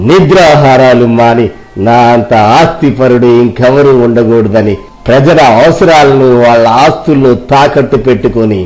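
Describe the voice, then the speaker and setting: A man's voice: mid-range voice, darkish tone, natural voice, poor audio. A man voicing a chastened, wealthy moneylender and husband in a moral story, speaking in a solemn, confessional manner.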